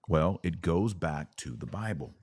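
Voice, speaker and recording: A man's voice: low-pitched, lively intonation, a little slow, darkish tone, slightly rough, dry recording, studio quality. A man hosting a religious commentary podcast, explaining things emphatically and didactically, often posing questions and answering them himself. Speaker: podcast host.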